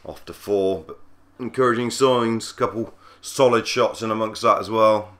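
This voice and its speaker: A man with a cold, husky and croaky, giving easygoing play-by-play commentary on a cricket video game. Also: fairly low pitch, fairly even intonation, moderate pace, fairly bright tone. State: hoarse from a cold. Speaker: gaming channel host.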